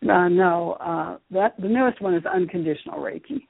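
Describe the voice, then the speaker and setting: A woman speaking as a guest teacher and author in a radio interview, explaining things in a measured way. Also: fairly low pitch, creaky, slightly rough, no room sound. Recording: muffled phone-like radio line.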